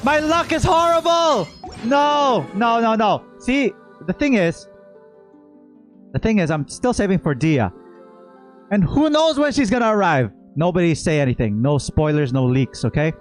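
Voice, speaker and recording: A man giving a casual, expressive livestream reaction to a game, often repeating words for emphasis. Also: high-pitched, a little slow, faint music behind. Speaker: gaming streamer.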